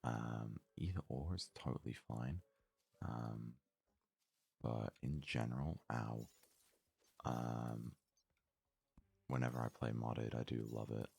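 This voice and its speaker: A man giving casual ASMR let's play commentary in a very soft, hushed, breathy voice, murmuring his thoughts aloud without hurry. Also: a bass voice, fairly even intonation, no room sound. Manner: unhurried, murmured thinking aloud. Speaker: gaming video host.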